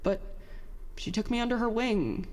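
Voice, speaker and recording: A woman playing a bitter, worn-out young inventor in a confessional audio drama monologue, halting, self-deprecating and emotional. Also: on the high side, lively intonation, darkish tone, natural voice. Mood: halting, subdued. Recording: long hall-like reverb on voice.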